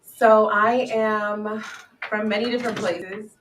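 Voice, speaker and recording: A young woman guest in a casual radio chat, relaxed and slipping Spanish phrases into her English. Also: mid-range voice, takes it slowly. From Washington DC area. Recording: echoey room, mic at a distance, audible noise.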